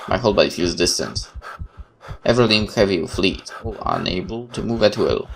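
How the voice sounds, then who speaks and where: A man reading horror game text aloud as a video host, theatrical and expressive, with informal reactions. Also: low voice, brisk, vocal fry. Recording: noticeable background noise.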